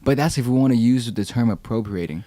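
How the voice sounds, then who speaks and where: A man's voice: mid-range voice, fast, warm tone, no room sound. A young man, a rapper guest talking in a laid-back, slangy way on a podcast. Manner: laid-back, slangy, quotes people in raised voices. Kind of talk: casual podcast conversation.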